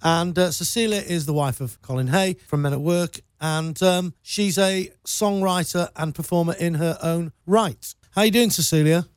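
A male radio host interviewing a guest in a studio, easygoing and polite, a little halting. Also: fairly high pitch, clear, resonant tone, no room sound. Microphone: studio microphone.

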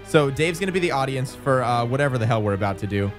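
Brief: wry self-deprecating morning radio host, man, lively and somewhat high, music behind. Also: fast. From Tucson, Arizona. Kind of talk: casual comic banter. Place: radio studio.

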